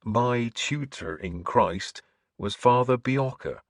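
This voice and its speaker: A man voicing a gentle, earnest scholarly bishop in an audiobook, with mild manners and a preacher's fervour. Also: mid-range voice, expressive, takes it slowly, no room sound, studio quality, pitched above the performer's own voice. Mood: firm, kindly. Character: gentle, earnest scholarly priest turned bishop, quietly sly.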